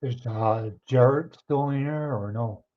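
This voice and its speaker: A man hosting a livestream, chatting casually with viewers and answering their comments, his voice a bit hoarse. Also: medium pitch, takes it slowly. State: hoarse, clearing his throat. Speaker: livestream host. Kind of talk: casual chat with live viewers. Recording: somewhat dull, lo-fi stream audio.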